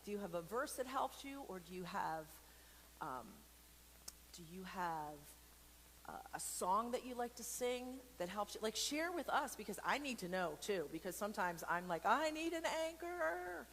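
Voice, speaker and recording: A woman's voice: medium pitch, sing-song, normal speed, a bit bright. A woman hosting a casual interactive read-aloud for children, chatty and playful, wandering into asides and putting questions straight to her listeners. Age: adult. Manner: chatty, playful, rambling asides with comic exaggeration; speaks directly to viewers, asking questions. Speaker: host reading a picture book to children during an online church service. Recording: long reverberant hall echo, background noise.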